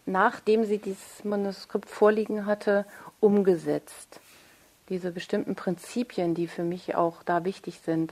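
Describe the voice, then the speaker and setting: A woman's voice: lowish voice, darkish tone. A woman speaking as an interview guest and author, explaining her work in a thoughtful, articulate and reflective way.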